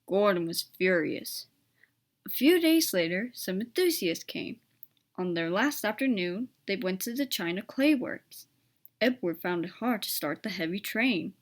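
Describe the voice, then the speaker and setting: A woman's voice: medium pitch, animated, a little slow. A woman reading a children's story aloud as a scripted narration.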